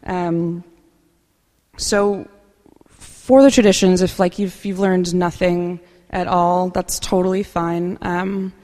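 A woman speaking softly and unhurriedly at a recovery meeting, sharing her own experience with many fillers. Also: on the low side, steady tone, slow, in a large hall. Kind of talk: personal share at a meeting.

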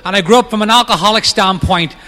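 A middle-aged man with a Northern Irish accent, telling his recovery story with quick, self-mocking humor. His voice sits high and sounds bright and forceful. It rings out with a long hall echo. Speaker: main speaker at a recovery meeting. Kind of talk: personal recovery talk.

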